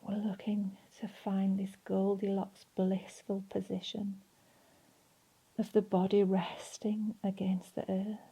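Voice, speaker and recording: A woman leading a guided relaxation in a soft, breathy, soothing voice, leaving long pauses between phrases. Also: medium pitch, monotone, low-quality recording. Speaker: meditation and relaxation instructor.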